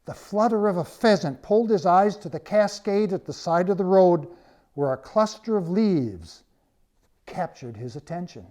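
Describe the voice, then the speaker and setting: Higher-voiced man narrating a story. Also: expressive, normal speed, warm tone. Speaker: author reading own story.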